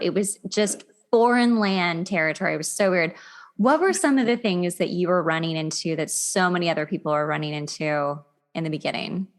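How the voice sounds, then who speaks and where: Effusive woman hosting a podcast. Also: fairly low pitch. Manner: effusive, emphatic, informal. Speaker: podcast host. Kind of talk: upbeat conversational podcast interviewing.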